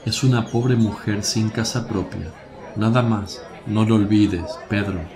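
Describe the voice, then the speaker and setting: An actor playing a serene, kindly religious teacher in a radio drama, speaking in a measured, solemn way. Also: male voice, on the low side, a crowd in the background. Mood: calm, firm.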